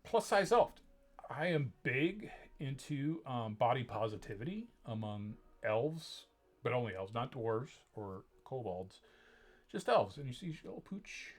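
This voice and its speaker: A man talks through a casual haul, deadpan and jokey, trailing off into ums and half-finished restarts. His voice sits at an ordinary middle pitch, and the tone stays ironic throughout. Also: measured pace. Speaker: vlogger.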